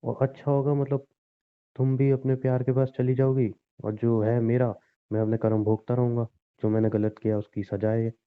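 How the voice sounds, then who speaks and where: A man's voice: mid-range voice, little pitch movement, moderate pace, smooth, lightly acted. Man acting as resigned, pleading husband, tinny phone sound. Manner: pleading, insistent, reasoning. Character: injured, resigned husband pressing his estranged wife to divorce. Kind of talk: naturalistic Hindi relationship audio drama.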